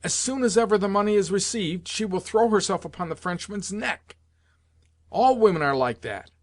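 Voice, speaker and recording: A man voicing an excitable, bitter, jealous young man in an audiobook novel, speaking fervently in exclamations. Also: high voice, moderate pace, no room sound, voice pushed up, natural voice. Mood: furious, bitter. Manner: fervent, exclamatory, broken repetitions.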